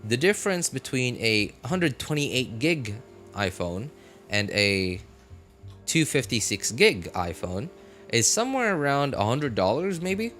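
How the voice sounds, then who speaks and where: A man's voice: medium pitch, sing-song, unhurried, a bit bright. A man chatting casually as a live streamer, rambling through his reasoning and often checking in with short questions. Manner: conversational, argues points with frequent check-in questions. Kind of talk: casual rambling livestream chat. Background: music.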